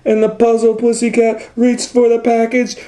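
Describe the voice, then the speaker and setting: A man's voice: very high-pitched, completely flat delivery, normal speed, warm tone. A man reading a children's story aloud as a storytime host, with theatrical, suspenseful dramatic narration.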